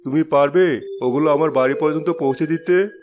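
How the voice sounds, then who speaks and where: A calm, considerate landowner in a cartoon, voiced by a man with a slightly high voice. He speaks evenly, with faint music behind. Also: brisk, natural voice. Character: kindly landowner employer, middle-aged man.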